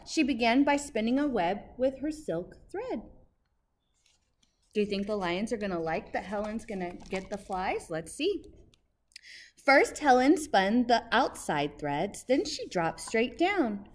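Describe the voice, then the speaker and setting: A woman in her thirties reading a picture book aloud expressively and emphatically, like a teacher, pausing to ask questions. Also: a little high, very animated. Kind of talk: expressive children's storybook read-aloud. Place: outdoors by a brick building entrance. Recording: faint outdoor background noise.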